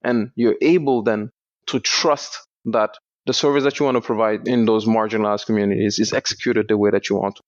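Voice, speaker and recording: A young man from Senegal, a startup founder, explaining his work in a measured way in a podcast interview. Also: lowish voice, steady tone, no echo. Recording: dull lo-fi dry sound.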